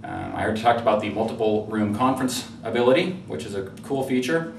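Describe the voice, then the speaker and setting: A man's voice: fairly low pitch, expressive, fast, gravelly. A man giving an informal product demonstration, explaining conversationally and a little hesitantly as a presenter. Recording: roomy, distant mic with faint noise.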